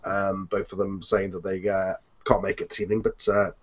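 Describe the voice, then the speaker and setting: A man hosting an online group chat with a hesitant, halting delivery. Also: sits low, level tone. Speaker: host and series producer. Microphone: computer, later phone, on online call. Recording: dull lo-fi internet call.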